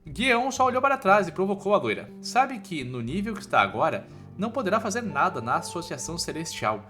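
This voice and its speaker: A Brazilian man reads an informal scripted story recap. His voice is bright and slightly high, with very lively swings in intonation. Soft music plays behind him. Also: fairly quick. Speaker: recap narrator.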